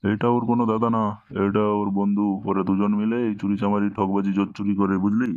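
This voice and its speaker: A man's voice: fairly low pitch, flat delivery, fast talker, no room sound, lightly acted. A man voicing a domineering, scolding village tantric holy man in a Bengali comedy cartoon, with a preachy manner. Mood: scolding, threatening. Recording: dull lo-fi, very dry cartoon dub.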